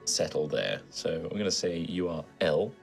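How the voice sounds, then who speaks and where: A man with a very low voice serves as game master for a tabletop roleplaying game. He narrates scenes and explains options to players, with faint music playing behind him. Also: moderate pace, creaky.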